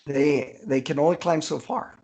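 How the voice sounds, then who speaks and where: A man's voice: a little high, moderate pace, darkish tone, lo-fi sound. Easygoing mature man in casual conversation. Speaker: author guest. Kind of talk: casual interview chat.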